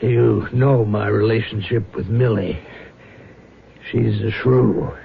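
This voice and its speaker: Weary confessing fugitive, tinny noisy radio. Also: male voice, fairly low pitch, fairly even intonation, takes it slowly, creaky, full character voice. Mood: heavy, confiding. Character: weary fugitive former gambling front man confessing. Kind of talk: radio drama acting.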